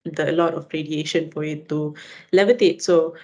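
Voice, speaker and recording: A woman's voice: low voice, fairly even intonation, normal speed, darkish tone. A young woman from Malaysia, a student guest chatting casually on a podcast with fillers. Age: young adult. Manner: chatty, fillers like "you know".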